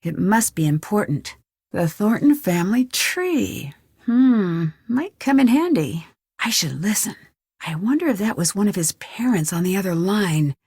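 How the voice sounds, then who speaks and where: A woman's voice: medium pitch, expressive, measured pace, darkish tone, husky, no room sound, clean studio sound. A young woman playing a curious, plucky amateur detective in an adventure video game, thinking aloud to herself. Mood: musing, curious. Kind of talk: scripted adventure game voice lines.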